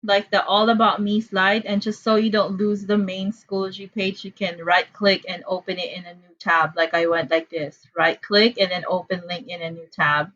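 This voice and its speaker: A woman's voice: mid-range voice, little pitch movement, moderate pace, hardly any pauses, fairly bright tone, lo-fi sound. A woman from the Philippines with a Filipino accent, teaching an online class in a chatty, apologetic way with frequent fillers. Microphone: computer mic on a video call.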